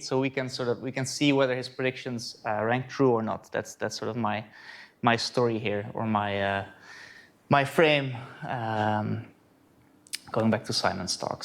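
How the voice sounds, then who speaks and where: A man from the Netherlands with a Dutch accent giving a conference talk on astronomy, chatty and relaxed, often checking in with "right?". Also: mid-range voice. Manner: conversational, frequent "uh" and "right?" asides. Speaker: astronomy lecturer.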